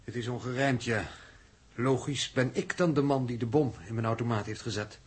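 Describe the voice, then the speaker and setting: A man's voice: medium pitch, moderate pace, slightly rough, natural voice. An actor playing a precise, practical spaceship crewman in a 1960s Dutch radio drama, matter-of-fact in delivery. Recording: noisy old radio drama recording.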